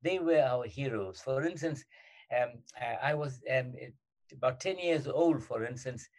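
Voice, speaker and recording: Older South African man, interview, halting speech, many fillers, mid-pitched voice. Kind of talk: reminiscing in an interview.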